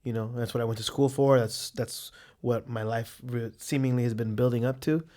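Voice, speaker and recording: A man who grew up in San Francisco's Mission District, an artist answering interview questions in an earnest, halting way. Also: mid-range voice, steady tone, darkish tone. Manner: earnest, hesitant, many fillers and restarts.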